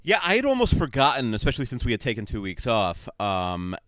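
A man co-hosting a podcast, thinking out loud in a rambling, hesitant way with many restarts. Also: medium pitch, wildly swooping pitch, fairly quick, dry recording. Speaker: podcast co-host. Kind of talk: casual podcast chat.

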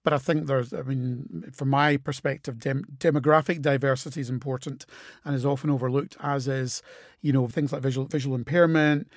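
A man hosting an interview, measured and conversational, with many you-knows and agreeing replies. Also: medium pitch, fairly even intonation, no echo, studio quality. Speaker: host.

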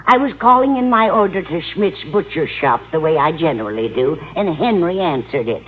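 A woman's voice: lowish voice, animated, a little fast, faint music behind, close to an everyday voice. An actress playing a dotty, smitten older landlady in a radio sitcom, gushing fondly and stubbornly with dramatic emphasis. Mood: proud, excited. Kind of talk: 1950s radio sitcom acting. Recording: muffled, noisy old radio recording.

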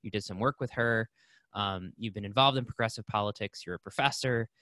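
A man hosting a podcast who introduces his guest in a casual, halting way. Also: on the low side, bright, forceful tone, dry recording. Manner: casual, halting, many fillers. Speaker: podcast host. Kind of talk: casual interview talk.

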